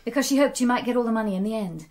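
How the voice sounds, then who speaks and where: Woman acting a young niece, slightly high voice, well-bred, indignant, radio play. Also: rapid-fire, darkish tone, close to an everyday voice. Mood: indignant, questioning.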